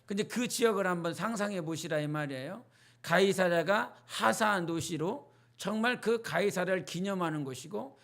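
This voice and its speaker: A man's voice: high voice, vocal fry, a bit bright. A male pastor with a North Jeolla accent, preaching a midweek sermon in a chatty, storytelling way and putting questions to the congregation. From North Jeolla, South Korea.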